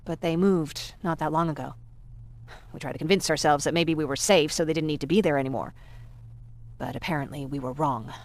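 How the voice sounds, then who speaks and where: A woman playing a weary, grieving young survivor in a video game, hesitant and soft-hearted. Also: sits low, brisk. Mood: resigned.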